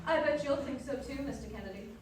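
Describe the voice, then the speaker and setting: A woman performs as a fashion-loving radio columnist of the 1940s. The voice sits a little high, with chatty, scripted salesmanship. It sounds far from the mic in a very reverberant hall with faint background noise. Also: quick pace, close to an everyday voice. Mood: chatty, enthusiastic. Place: live stage performance in a dance hall.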